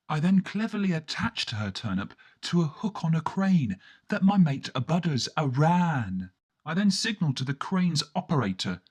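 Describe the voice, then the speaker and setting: A man narrating a scripted first-person comic monologue, acting out the dialogue as he goes. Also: fairly high pitch. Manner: performs the dialogue of the characters within the telling. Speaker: storyteller and narrator.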